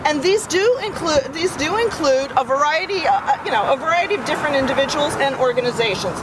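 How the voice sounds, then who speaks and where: A woman's voice: sits high, lively intonation, fairly quick, rough recording. A woman speaking for an advocacy group at a press conference, repeating questions and filling gaps with many fillers and restarts. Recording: loud traffic and crowd outdoors.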